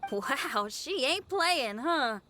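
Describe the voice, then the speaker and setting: A woman's voice: high-pitched, lively intonation, extremely slow, music in the background, exaggerated voice. A woman voicing an upbeat, bold young female adventurer and performer in a video game. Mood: curious, musing. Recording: game audio with faint background noise.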